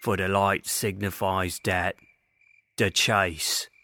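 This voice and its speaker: A man's voice: deep voice, level tone, takes it slowly. A man giving florid, dramatic scripted narration for an audio drama. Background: loud music.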